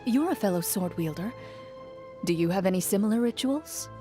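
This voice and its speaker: An actress playing a cool, composed young woman, a champion duelist in a fantasy video game, speaking in a measured, formal and restrained way. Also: medium pitch, animated, mellow, soft background music, close to an everyday voice. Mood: calm, serious. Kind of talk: scripted video game character voicelines.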